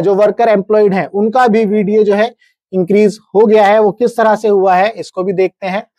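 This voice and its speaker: A man's voice: high voice, dark tone, dry recording. Indian man lecturing in a dry studio. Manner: methodical, with checking tags to the viewer. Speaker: presenter explaining government wage notices. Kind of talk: explanatory lecture, reading out figures and tables. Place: indoor studio, standing at a large digital screen.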